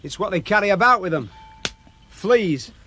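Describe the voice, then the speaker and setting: An actor playing a garrulous, grumbling, cheeky camel guide in a radio drama. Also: male voice, sits high, expressive, normal speed, bright, forceful tone, a little hiss or hum. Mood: chatty, bluff. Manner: garrulous, complaining, hesitant explanations.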